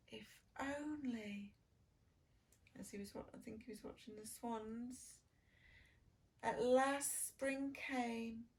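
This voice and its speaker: A woman reading a children's storybook as a preschool lead, with sing-song delivery, dramatic pauses and simple questions for young listeners. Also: on the high side. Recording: distant microphone.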